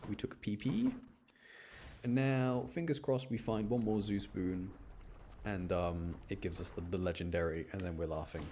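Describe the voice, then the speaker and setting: A man's voice: sits low, moderate pace, dark tone, slight background noise. A man giving casual gameplay commentary, talking to himself and explaining his choices as he rambles along. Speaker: gaming content creator.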